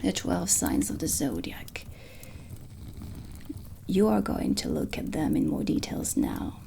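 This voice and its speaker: A woman playing a stern, dry dark witch teacher in a soft-spoken ASMR roleplay, deliberate and often slipping toward a whisper. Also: low voice, wildly swooping pitch, gravelly, exaggerated voice. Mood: stern, instructive. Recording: close voice under prominent ambient music. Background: ambient music.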